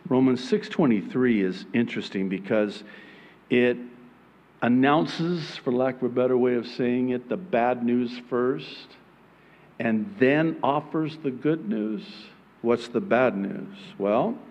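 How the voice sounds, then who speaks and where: A man in his fifties with an Arabic accent, preaching a sermon from a church pulpit, joking and building to emphatic, near-shouted points. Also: medium pitch. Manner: humorous, rising to emphatic near-shouting peaks. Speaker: pastor. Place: church stage behind a pulpit. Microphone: pulpit microphone.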